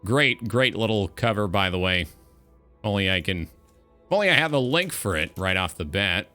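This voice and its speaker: A man's voice: lowish voice, lively intonation, moderate pace, bright, forceful tone. A man hosting a casual livestream talk show, speaking in an earnest, reflective monologue with music playing behind him.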